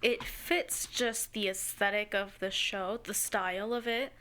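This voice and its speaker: A woman co-hosting a casual anime podcast, supportive and agreeable, quick to laugh along. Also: medium pitch, steady tone, fairly bright tone. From bilingual speaker. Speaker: podcast co-host.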